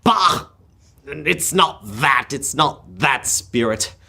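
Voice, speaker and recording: A young performer as halting, emotional old miser, swooping delivery. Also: male voice, medium pitch, animated, gravelly, sharp tone, husky, heavily acted. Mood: defensive, troubled. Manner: halting, exclamatory, stammering with emotion. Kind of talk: old-time radio play acting. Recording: music under the voice. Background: music.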